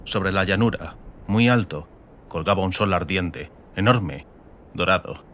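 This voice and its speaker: Male narrator for a fantasy audiobook, fairly low register, dramatic scripted delivery. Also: a little slow, fairly bright tone, slight background noise.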